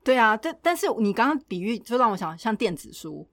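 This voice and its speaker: A woman's voice: on the high side, sing-song, fairly quick, dry recording, studio quality. A woman with a Taiwanese Mandarin accent co-hosting a casual podcast in a conversational, inquisitive way. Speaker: podcast co-host.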